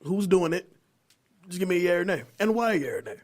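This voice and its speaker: A man's voice: sits high, lively intonation, fast. A man hosting a casual podcast panel, talking emphatically with some swearing and stumbling restarts. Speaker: podcast host. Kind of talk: casual chat moderating a panel.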